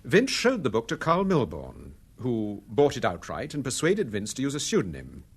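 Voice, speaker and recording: An actor playing a calm, authoritative amateur detective in a radio drama, assured and precise as he explains. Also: medium pitch, moderate pace, husky. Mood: measured, explaining.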